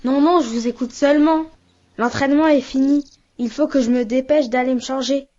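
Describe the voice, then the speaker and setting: A woman's voice: sits high, steady tone, moderate pace, warm tone, highs cut off, lightly acted. A woman voicing an earnest, conscientious schoolboy in an educational children's cartoon. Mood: polite, reserved.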